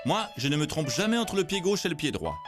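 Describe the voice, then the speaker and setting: A man's voice: on the high side, very animated, a little fast, over music, pitched above the performer's own voice. A man voicing proud, earnest little girls with a childlike, emphatic manner in a children's cartoon. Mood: proud, eager.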